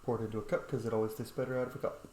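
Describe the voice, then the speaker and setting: A man's voice: mid-range voice, little pitch movement, very fast, warm tone, roomy sound, a little hiss or hum. A man hosting a playthrough with casual, informal commentary, chatty asides and some mild swearing. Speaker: gaming host.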